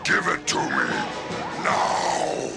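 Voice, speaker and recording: An actor playing a brutal, demanding marauder king with a gravelly voice. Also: drawn-out words, over music. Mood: menacing.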